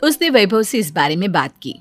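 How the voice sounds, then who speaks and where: A woman's voice: medium pitch, big pitch swings, brisk, a bit bright. A woman narrating a scripted cartoon story as the storyteller. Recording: faint music bed under voice.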